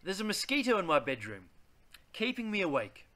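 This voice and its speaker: A man, reading a children's picture book aloud in a deliberate, theatrical way. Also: high-pitched, huge pitch swings, pushed and bright. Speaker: read-aloud host.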